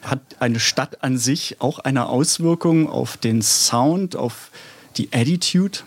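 A man from Stuttgart conducting an interview, asking measured, probing questions. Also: medium pitch. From Stuttgart, Germany. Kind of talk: radio-style interview.

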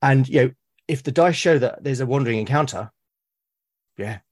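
British man thinking aloud, halting delivery. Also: medium pitch, a little mellow. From Britain. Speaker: podcast guest, solo roleplaying gamer. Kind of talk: casual interview conversation.

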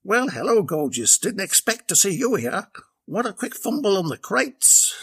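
A man's voice: high-pitched, very animated, normal speed, a bit bright, husky, pitched above the performer's own voice, full character voice. A man voicing a cheeky, smirking milkman in a storybook reading. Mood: leering. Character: cheeky, leering young milkman.

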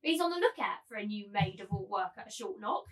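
A woman's voice: mid-range voice, expressive, fast talker, a bit bright. A woman playing a cruel, domineering brothel keeper and auctioneer in a dramatised literary reading, commanding, taunting and theatrical.

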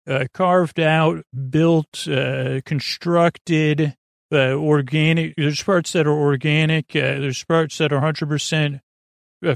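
Male sleep-podcast host, heavy vocal fry, dry studio sound, halting and wandering delivery full of tangents. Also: a little high, dry recording. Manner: meandering, hesitant, stammering, often breaking off mid-thought. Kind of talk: rambling bedtime monologue with tangents.

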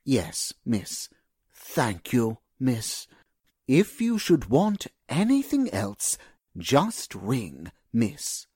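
Woman as male butler, very low husky voice, deferential, formal, sly, radio drama. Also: wildly swooping pitch, a little mellow, no room sound, studio quality, full character voice. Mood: obsequious, measured. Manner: formal, servile, unhurried. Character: smooth, sneaky, deferential male household butler.

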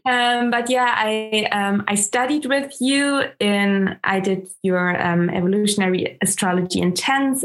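Woman, German accent, German native speaker, slow, hesitant, many ums. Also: mid-range voice. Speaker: guest, astrologer. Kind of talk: interview conversation.

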